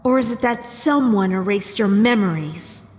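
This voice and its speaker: A woman's voice: medium pitch, lively intonation, moderate pace, darkish tone, close to an everyday voice. A woman acting a betrayed, indignant young princess in a dramatic comic dub, with an accusing, emphatic delivery. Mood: hurt, suspicious. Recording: long hall reverb under music.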